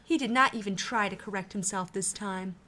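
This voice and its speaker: Calm woman narrating a story. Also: mid-range voice, brisk, noisy background.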